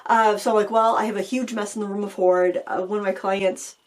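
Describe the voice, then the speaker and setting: A middle-aged woman talks loosely to her viewers from a home studio. Her voice sounds a little raspy, as if she is still getting over an illness. She rambles, stacking fillers and restarting her sentences. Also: medium pitch, steady tone, a little fast. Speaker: craft channel host. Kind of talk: casual chat to camera.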